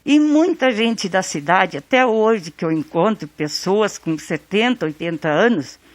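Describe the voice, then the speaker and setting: Uma mulher do sul do Brasil relembrando o irmão numa entrevista, falando com calma e carinho. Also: female voice, medium pitch, measured pace, no room sound. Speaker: interviewee, sister. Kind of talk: interview recollection.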